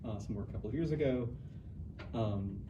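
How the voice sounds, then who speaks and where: Male host, halting unscripted intro, roomy echo, clear background noise. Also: lowish voice, dull audio. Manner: halting, frequently restarting phrases. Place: indoor room at an in-house talk. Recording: echoey room, distant mic, noisy background.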